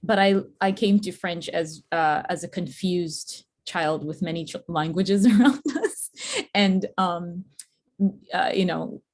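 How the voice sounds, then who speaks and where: A woman's voice: fairly low pitch, takes it slowly, darkish tone. A woman from Zambia, a panelist telling personal stories in a casual panel discussion over a video call.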